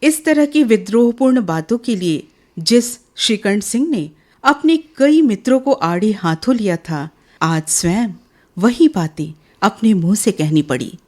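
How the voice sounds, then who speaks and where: A woman's voice: medium pitch, lively intonation, a little slow, a little mellow. A woman reading scripted literary narration for a Hindi radio drama.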